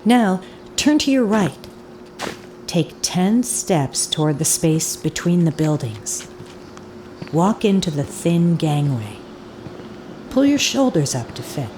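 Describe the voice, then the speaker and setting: A woman playing an eerie audio tour guide in an audio drama, giving deliberate instructions with hypnotic repetition. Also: on the low side, big pitch swings, dark tone, slight background noise. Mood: calm, commanding. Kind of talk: scripted audio drama narration. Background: ambient field recording and foley sounds.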